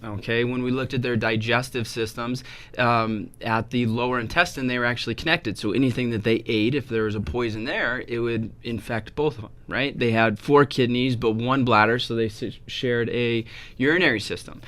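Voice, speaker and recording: A man's voice: mid-range voice, steady tone, moderate pace, a bit bright. A chiropractor, a man, patiently explaining health topics on a talk show, often checking in with "right?". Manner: patient explainer, frequent tag questions. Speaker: chiropractor guest.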